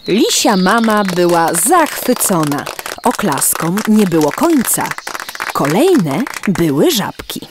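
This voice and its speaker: Woman narrator, hugely animated storytelling. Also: on the low side, slow, background noise. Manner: expressive storytelling for young children. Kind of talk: scripted children's story narration.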